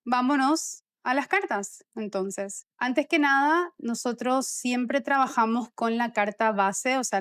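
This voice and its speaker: Clear, high-voiced Rioplatense woman hosting. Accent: Rioplatense Spanish. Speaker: podcast host and astrologer. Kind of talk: conversational astrology reading and interview.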